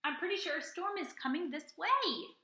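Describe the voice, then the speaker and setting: A woman's voice: very high voice, lively intonation, moderate pace, bright, forceful tone, echoey room, pitched above the performer's own voice, exaggerated voice. A woman voicing a bookish, earnest young male rabbit in a storybook, polite and pleading.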